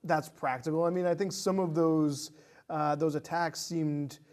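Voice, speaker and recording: A man with a voice a bit higher than most talks about movies as a podcast guest. His delivery is casual, measured and somewhat tentative. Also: steady tone, darkish tone.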